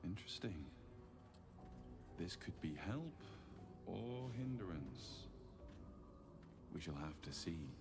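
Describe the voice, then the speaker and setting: An actor playing a wary, calculating, brooding man in a video game, speaking in a deliberate, sceptical way. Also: sits low, big pitch swings, full character voice. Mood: wary, pondering. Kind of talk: video game dramatic acting. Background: music.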